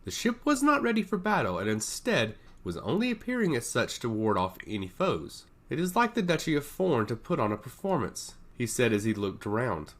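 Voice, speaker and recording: A man narrating a fantasy story in an animated reading style. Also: medium pitch.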